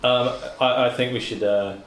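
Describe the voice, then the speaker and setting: A man plays in a tabletop game, talking hesitantly and restarting his sentences. The room is echoey, with clear background noise and clicks. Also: mid-range voice, fairly even intonation, normal speed. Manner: hesitant, lots of fillers. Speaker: player. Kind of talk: casual tabletop play.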